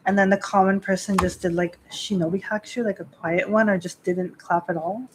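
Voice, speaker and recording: A woman chats on a live stream at a middle pitch, thinking aloud and circling back on herself. She fills nearly every pause with "like" and breaks into small laughs as she goes. A faint hiss sits under her voice. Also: steady tone, rough recording. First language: not Japanese. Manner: rambling, lots of "like" fillers, laughs often. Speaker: Shinto priestess hosting a live stream. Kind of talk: casual live-stream chat.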